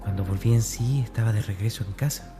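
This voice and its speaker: A man playing a tormented, brooding young man in a confessional horror audio drama monologue, intense and breathy. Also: on the low side, brisk, loud music behind the voice, natural voice. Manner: intense, breathy, often dropping toward a whisper.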